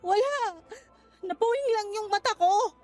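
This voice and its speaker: A young mother in a radio drama with a strikingly high voice. She is weary and curt, exasperated by her partner. Faint music runs underneath. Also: a little slow, darkish tone. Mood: hurt. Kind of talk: Tagalog radio drama acting. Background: music bed.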